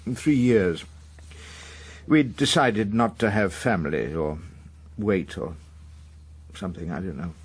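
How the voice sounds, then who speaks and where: Uneasy establishment man, upper-class English accent, low register, rough husky tone, halting confiding delivery, radio drama. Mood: embarrassed confession. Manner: hesitant, halting. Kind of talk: British radio drama acting.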